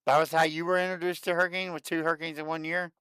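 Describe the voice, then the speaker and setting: A man in his late thirties hosting a livestream, chatting casually and excitedly, and often repeating himself. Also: a little high, very fast, smooth, dry recording. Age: 30s. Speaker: livestream host. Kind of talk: casual chat.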